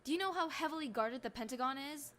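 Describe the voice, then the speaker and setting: A woman's voice: sits high, animated, fast, a bit bright, a little hiss or hum. A woman acting a sarcastic, antisocial sceptic in an amateur audio drama, dry and grudging.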